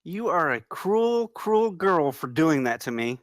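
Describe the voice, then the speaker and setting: A woman's voice: deep voice, very animated, measured pace, dry recording, studio quality. A woman playing a flirty, confident woman in her twenties at a screenplay table read. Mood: teasing.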